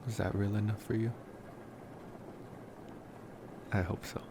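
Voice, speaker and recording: A man playing an affectionate young college vampire in an intimate ASMR boyfriend roleplay, speaking softly and gently in short, hesitant phrases. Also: lowish voice, flat delivery, quick pace, noisy background, lightly acted. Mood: playful, tender.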